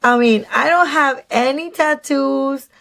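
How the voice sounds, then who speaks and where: A woman with a Mexican Spanish accent, chatty and animated, telling a dating story to her phone camera with many restarts. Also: high voice, unhurried, creaky. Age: adult. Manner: slips into Spanish "dije". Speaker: dating vlogger.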